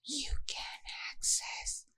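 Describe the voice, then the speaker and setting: A woman speaks entirely in a whisper as an ASMR creator addressing her listeners. Her delivery is extremely slow and deliberate. The whisper is very bright and sharp. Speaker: ASMR creator giving an outro. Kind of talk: whispered ASMR channel outro.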